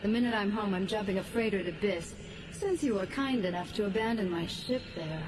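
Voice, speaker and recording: A woman's voice: mid-range voice, brisk, a little mellow, lightly acted, low-quality recording. An actress playing a flirty, sardonic female spacer in a sci-fi audio drama. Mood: teasing. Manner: teasing, sardonic. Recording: clear ambient noise.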